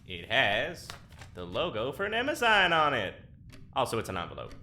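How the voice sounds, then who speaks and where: Wary, exasperated prisoner, dry wit, animated bright voice, faint noise, audio drama. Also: male voice, mid-range voice, normal speed, exaggerated voice. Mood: irritated. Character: grumbling, sardonic, wary male prisoner. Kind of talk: audio drama dialogue acting.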